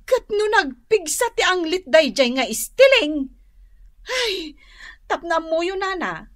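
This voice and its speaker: A woman's voice: very high-pitched, very animated, takes it slowly, exaggerated voice. A woman playing a touchy, quarrelsome village wife in a comedic Ilocano radio drama, exclaiming and complaining.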